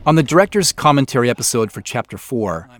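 A man's voice: mid-range voice, big pitch swings, fast, fairly bright tone. A man hosting a scripted director's commentary on an audio drama as its creator.

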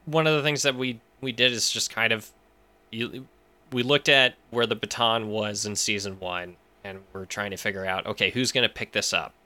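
A man's voice: fairly low pitch, steady tone, moderate pace, pushed and bright. A man explaining things as an interview guest in a casual chat, thinking aloud as he goes. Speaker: podcast writer, interview guest.

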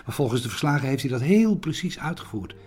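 A man's voice: mid-range voice, sing-song, fast talker, darkish tone, faint music behind. A man giving a hesitant interview, searching for words and often repeating himself. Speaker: interviewee recounting theatre history.

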